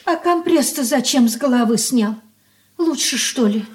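A simple-hearted elderly merchant's wife in a Soviet radio drama. Her voice is fairly high. She fusses caringly in plain, homely, colloquial phrases. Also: expressive, normal speed, a little mellow. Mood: fussing concern. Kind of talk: Soviet radio play acting.

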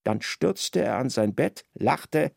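A man narrating a literary radio play in a dramatic, expressive, scripted style. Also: medium pitch, slow pace, vocal fry, breathy edge, no room sound, clean studio sound.

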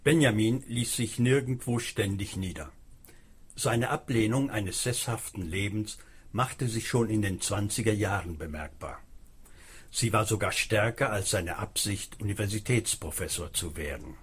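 A man's voice: on the low side, a little slow, slightly rough. A man reading a scripted narration for an audio documentary.

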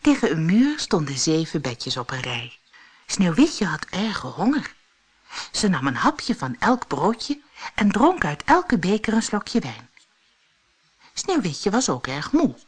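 Female storyteller for children's fairy tales: expressive, unhurried, widely varied intonation. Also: on the low side, breathy edge. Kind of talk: scripted fairy tale audiobook narration.

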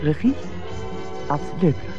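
A radio announcer reading scripted drama credits in a measured formal announcement. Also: highs cut off. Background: clear music.

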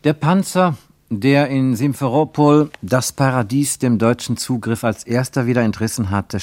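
An actor playing the sober narrator of a literary travel story, reading scripted narration in a German radio play. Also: male voice, lowish voice, darkish tone, no echo, close to an everyday voice.

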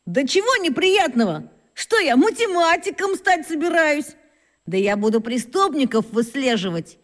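A swaggering fifth-grade boy in a children's radio drama. The voice is high and swoops up and down as he shows off, with a long reverb around it. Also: very animated, moderate pace. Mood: cocky, dismissive. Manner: cocky, swaggering, emphatic storytelling. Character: boastful schoolboy, fifth grader, self-important would-be detective. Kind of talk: children's radio play acting.

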